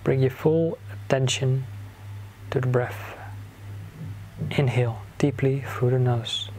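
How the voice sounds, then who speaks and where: A man leading a guided meditation in a soothing manner, giving gentle instructions in short phrases with long pauses between them. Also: mid-range voice, steady tone, low-quality recording. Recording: clear background noise, ambient sound.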